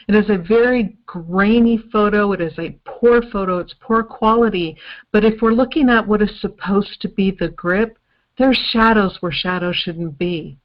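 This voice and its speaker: A woman playing a methodical forensic investigator in an old time radio style detective drama, explaining evidence in a deliberate way. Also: mid-range voice, highs cut off, lightly acted.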